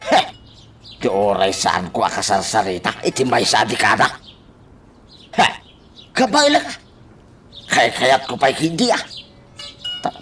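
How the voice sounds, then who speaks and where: A man's voice: medium pitch, a bit bright, a little hiss or hum. An actor playing a nosy man who mutters and exclaims to himself as he watches others, in an Ilocano radio drama.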